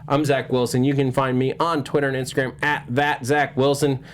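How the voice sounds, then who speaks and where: A man hosts a casual entertainment panel with a mid-pitched voice. He talks informally and a little jokily, as if to friends and viewers at once. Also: flat delivery, a little fast, a little hiss or hum. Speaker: host of a movie news panel show.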